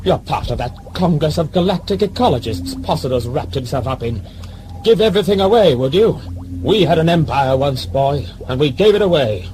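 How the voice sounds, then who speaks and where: An actor playing a pompous, blustering old governor in an audio drama, dismissive and full of bluster. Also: male voice, on the high side, lively intonation, normal speed, darkish tone, slight background noise, close to an everyday voice, low-quality recording. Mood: indignant. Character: blustering, pompous old prison-ship governor nostalgic for empire.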